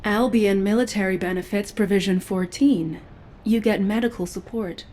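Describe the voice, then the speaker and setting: An actress playing a stern but quietly caring female special forces agent in video game dialogue, measured, dry and matter-of-fact. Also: medium pitch, a little hiss or hum, close to an everyday voice.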